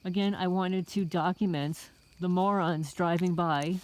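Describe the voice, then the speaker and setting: A woman's voice: on the low side, steady tone, a little slow, a little mellow. A middle-aged woman from the Bronx talking to viewers in a casual, indignant vlog monologue full of rhetorical questions. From the Bronx, New York. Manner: indignant, rhetorical, addresses listeners as folks. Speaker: homesteading vlogger.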